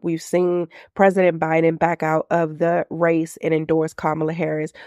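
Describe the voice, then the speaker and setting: A woman's voice: on the low side, darkish tone, no room sound, clean studio sound. A woman in her thirties hosting a faith-based business podcast, sounding congested and nasal from a cold, speaking earnestly and reflectively. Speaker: podcast host.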